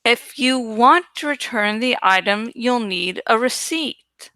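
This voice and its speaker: A woman's voice: fairly high pitch, lively intonation, takes it slowly, bright, forceful tone, dry recording, heavily acted. A woman voicing a shabby, crafty madwoman from a storybook, who speaks in precise, eerie repetitions. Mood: flat, challenging. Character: shabby, sly madwoman who repeats herself eerily.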